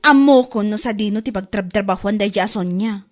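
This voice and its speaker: A woman's voice: medium pitch, brisk, darkish tone, close to an everyday voice. A woman playing a nosy, scolding village gossip in an Ilocano radio comedy drama, chiding and insinuating.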